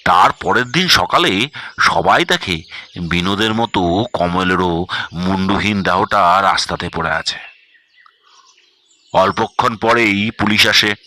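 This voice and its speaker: Horror story narrator, man, very bright forceful tone, unhurried delivery. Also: deep voice.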